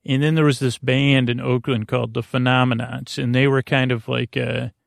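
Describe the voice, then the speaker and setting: A man hosting a bedtime podcast, meandering and halting as he interrupts himself and drifts through a rambling monologue with a creaky voice. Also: mid-range voice, level tone, dry recording, studio quality. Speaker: podcast host.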